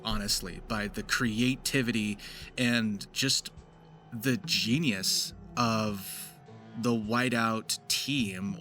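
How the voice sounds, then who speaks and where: A man's voice: mid-range voice, pushed and bright. A man giving effusive, emphatic video commentary as the host, with some swearing. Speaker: video host and amateur voice actor. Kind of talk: video essay commentary, semi-scripted. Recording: faint music under voice-over.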